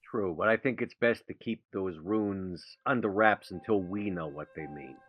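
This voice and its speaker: A man's voice: sits low, moderate pace, a bit bright. A man playing a tabletop role-playing game, speaking casually in and around his character, on a dull-sounding microphone. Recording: dull, band-limited close microphone.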